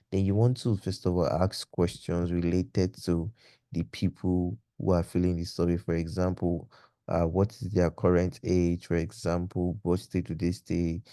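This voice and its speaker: A man's voice: deep voice, mellow, no echo, studio quality. A man teaching in an informal explanatory lecture, instructing step by step and posing example questions as he goes. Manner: didactic, poses example questions.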